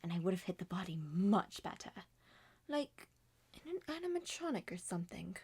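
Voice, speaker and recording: A woman voicing a cold, self-serving father in a cool, deliberate manner. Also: lowish voice, sing-song, darkish tone, slightly rough, voice pushed down, heavily acted. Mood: cold, defensive.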